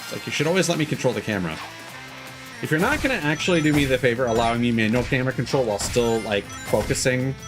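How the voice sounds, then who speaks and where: Casual male game streamer over loud music. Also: mid-range voice. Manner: rambling, opinionated, swears casually. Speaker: video game live streamer. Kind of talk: casual gameplay commentary.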